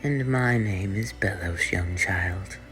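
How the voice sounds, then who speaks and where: A man voicing a stern older male ruler who speaks in hushed, half-whispered tones. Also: sits low, takes it slowly, soft background music.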